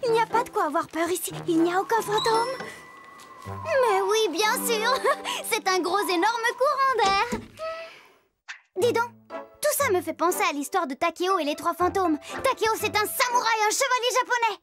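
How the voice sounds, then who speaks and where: A woman voicing a playful, teasing little girl who tells stories in a lively way for a children's cartoon. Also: extremely high pitch, moderate pace, over music.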